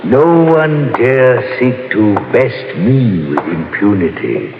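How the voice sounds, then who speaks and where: A man's voice: medium pitch, big pitch swings. A sinister madman in echoing vault. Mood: ominous, sly. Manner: theatrical, drawn-out, ominous phrasing. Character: mad, murderous male wine collector, sly and menacing. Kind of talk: 1950s radio drama acting. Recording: echoey vault effect, muffled noisy old radio.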